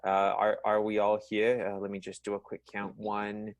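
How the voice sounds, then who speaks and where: A man's voice: on the low side, monotone, normal speed, no echo, natural voice. A man playing a nervous, stammering, awkwardly polite chairman in an improvised comic radio play. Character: nervous, evasive man chairing a vaudeville company meeting.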